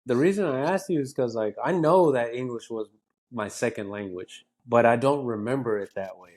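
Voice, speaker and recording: A man's voice: medium pitch, moderate pace, darkish tone. A young man from Texas hosting a podcast in casual chat. He swears freely and laughs often. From Texas, USA. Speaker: podcast host.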